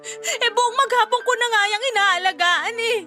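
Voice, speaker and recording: An actress playing a resentful, defensive young wife in a Tagalog radio drama, arguing and complaining emphatically. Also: female voice, very high-pitched, moderate pace, bright, forceful tone, faint music behind. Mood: indignant. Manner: indignant, argumentative, emphatic complaining.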